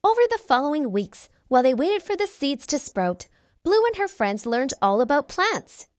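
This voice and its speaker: Lady reading kids story aloud, expressive, high lilting voice, dry close sound. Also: moderate pace, no room sound. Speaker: children's book narrator. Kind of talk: read-aloud storytelling for young children.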